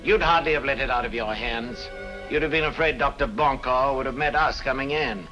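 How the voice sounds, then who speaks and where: An actor playing a cool, smug and ruthless criminal mastermind, sardonic and commanding, in 1940s mystery film style. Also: male voice, medium pitch, brisk, sharp tone, lo-fi sound, faint music behind, lightly acted.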